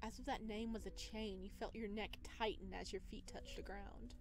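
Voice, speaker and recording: A woman doing casual, excitable let's play commentary and reading a visual novel aloud. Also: mid-range voice, normal speed, music in the background. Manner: expressive, excitable. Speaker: gaming video creator.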